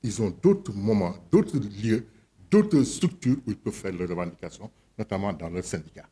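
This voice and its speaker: A man's voice: fairly low pitch, expressive, husky. A man chairing a board meeting, giving a formal address and calling votes. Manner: measured, then loud and directive when calling the vote. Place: meeting hall.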